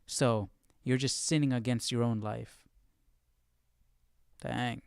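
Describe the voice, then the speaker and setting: A man with a smooth, clear voice and mid-range pitch talks casually as a stream host. He reflects aloud, circling back to rephrase his thoughts. The sound is dry, with no room echo. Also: fairly even intonation, takes it slowly. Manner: thinking aloud, repeating and rephrasing. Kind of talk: casual reflective study commentary.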